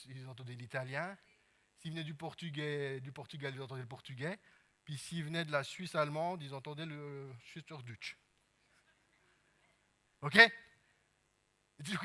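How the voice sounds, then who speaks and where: A man with a Swiss French accent preaching a sermon as pastor, peppering his talk with amens and hallelujahs and swinging between hushed and loud passages. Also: high voice.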